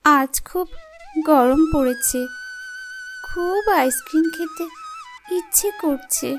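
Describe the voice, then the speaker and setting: A woman's voice: high voice, wildly swooping pitch, slow pace, over music, full character voice. A woman voicing a plaintive young girl in a fairy-tale cartoon, pleading in a whiny way. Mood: wishful, coaxing.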